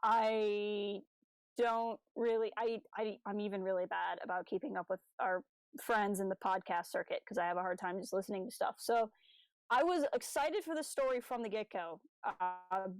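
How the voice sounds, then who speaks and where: A woman's voice: mid-range voice, moderate pace, smooth, no echo, poor audio. A young adult woman co-hosting a podcast, talking informally and with some self-deprecation in casual discussion. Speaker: podcast co-host.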